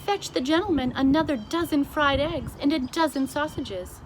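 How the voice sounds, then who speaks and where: A regal storybook Queen, put on by a woman reader, speaks in a raised pitch with dignified, commanding politeness. The recording has clear noise behind the voice. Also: moderate pace, natural voice. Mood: composed, slightly put-out. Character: regal, composed, hospitable adult Queen. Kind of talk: children's storybook reading.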